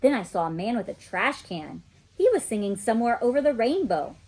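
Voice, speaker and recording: A woman reads a children's story aloud as a teacher would. Her delivery is expressive, with animated pitch movement and a slightly husky voice. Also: fairly quick, low-quality recording.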